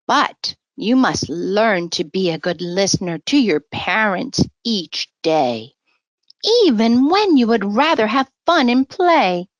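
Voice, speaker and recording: A woman reading the part of a kindly father in a children's storybook, solemn, deliberate and moralizing. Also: mid-range voice, sing-song, dry recording, lo-fi sound. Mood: gently solemn.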